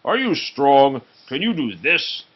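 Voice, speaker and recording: A man's voice: medium pitch, big pitch swings, slow, muffled recording. Blustering storybook giant, voiced by a man. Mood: challenging. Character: huge menacing male fairy-tale giant, boastful bully.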